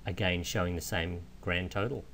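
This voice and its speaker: A man giving a methodical, explanatory software walkthrough in the manner of a trainer. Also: low-pitched, normal speed. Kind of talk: instructional screen walkthrough narration. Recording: clear steady background noise.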